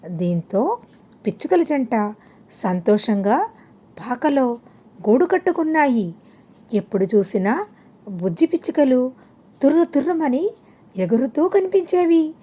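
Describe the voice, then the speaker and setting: A woman narrating a scripted moral tale for children in measured, separate phrases. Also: high voice, very animated, mellow. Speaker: storyteller.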